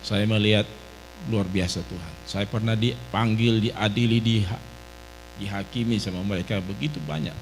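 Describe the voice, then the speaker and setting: A middle-aged male pastor from Medan preaches a testimony in a very low, deep voice. He keeps punctuating his phrases with little affirmations and direct address to the congregation. A faint electrical hum runs under the live church recording. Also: fairly even intonation, moderate pace, low-quality recording. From Medan, Indonesia. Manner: repeats "ya" and "saudara" between phrases. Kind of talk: sermon testimony. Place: church sanctuary.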